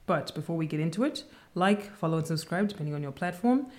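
A woman co-hosting a casual podcast chat, speaking in a calm, measured and reserved way. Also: fairly low pitch. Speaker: podcast co-host.

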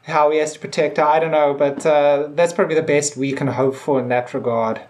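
A man's voice: fairly high pitch, steady tone, fairly quick, a little mellow, slight background noise. A middle-aged man co-hosting a casual TV discussion, tentative and rambling, with a self-deprecating way of talking. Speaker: podcast co-host. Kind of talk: casual TV episode review discussion.

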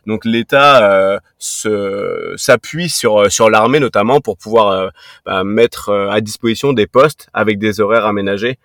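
Low-voiced man in his thirties, dry sound. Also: measured pace, a bit bright, no echo. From Brittany, France. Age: 30s. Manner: hesitant, many fillers and restarts. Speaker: podcast guest, fitness coach. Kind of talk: casual interview answers.

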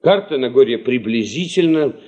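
A male actor plays a cautious, principled male party leader and geologist in a radio drama. His medium-pitched voice rises and falls widely. He is formal, exact and firmly sceptical. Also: animated, moderate pace, dark tone, dull audio, natural voice. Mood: cautious, matter-of-fact. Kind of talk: Soviet radio drama acting.

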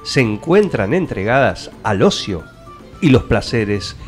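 A man with an Argentine Rioplatense accent, narrating a radio play in a measured, mock-solemn scripted storytelling style. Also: fairly low pitch, big pitch swings, soft background music.

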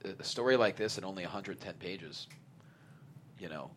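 A man's voice: deep voice, moderate pace. A man talking casually as a panelist in an interview discussion. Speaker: panelist asking questions. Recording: clear background noise, room ambience.

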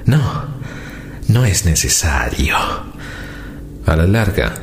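A male actor playing a brooding, tormented man confessing dark deeds in a radio drama, deliberate and intense. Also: sits low, very animated, slight background noise, exaggerated voice. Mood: bitter, vengeful.

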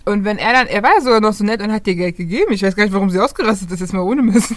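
A woman hosting a casual podcast chat, quick to argue her own opinions. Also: mid-range voice, no room sound. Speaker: podcast host.

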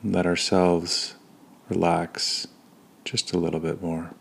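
Man, deep voice, creaky fry, calm, soothing, short phrases with pauses, guided meditation. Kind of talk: guided body scan meditation.

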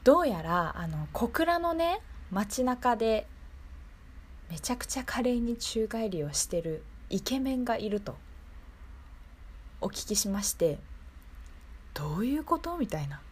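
A woman's voice: fairly high pitch, big pitch swings, a little slow, long pauses, slightly rough. A woman hosting a local radio show, speaking politely and conversationally as she addresses her listeners. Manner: polite, conversational, often seeking agreement from listeners. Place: radio studio.

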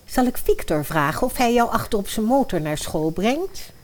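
A woman's voice: on the high side, sing-song, normal speed. A woman playing a chic, jealous, scheming stepmother in a modern fairy-tale radio play. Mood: brisk.

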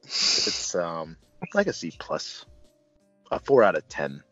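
A man's voice: low-pitched, huge pitch swings, slow pace, a bit bright, no echo, lo-fi sound, faint music behind. A man hosting a casual movie review, talking in a joking, rambling way.

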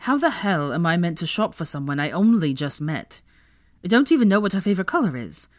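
A woman reading as a fretful young man in a storybook, anxious and short on tact. The intonation is lively and the recording is dry. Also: medium pitch, fairly quick, dry recording, voice pushed up. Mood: panicky. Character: anxious, tactless, fretful young man, posh-shopping boyfriend.

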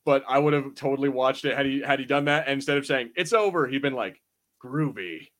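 A man co-hosting a podcast in casual review banter, telling things in slangy, animated fashion and acting out quotes. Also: mid-range voice, fast. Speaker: podcast co-host.

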